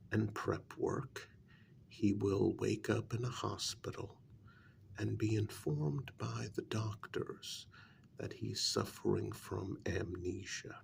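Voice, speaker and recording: A man playing a calm, calculating vampire clan member in a soft-spoken ASMR role-play, speaking in a measured, hushed way with deliberate pauses. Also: fairly low pitch, creaky, slight background noise, full character voice.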